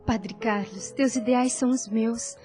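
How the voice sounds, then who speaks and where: A woman playing a devout, gentle young woman in an audio drama, with a solemn and formal way of speaking. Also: fairly high pitch, steady tone, unhurried, breathy edge, faint music behind. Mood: tender resignation. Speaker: voice actor.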